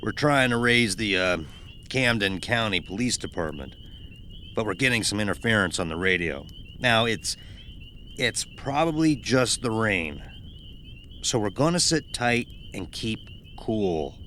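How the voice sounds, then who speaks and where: Guy doing uneasy logging boss, lowish tense voice, halting but playing it cool, bit of outdoor noise. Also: animated, bright, forceful tone. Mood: forced casual. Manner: hesitant, casual, halting. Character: uneasy logging crew boss trying to stay calm. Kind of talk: audio horror story dialogue.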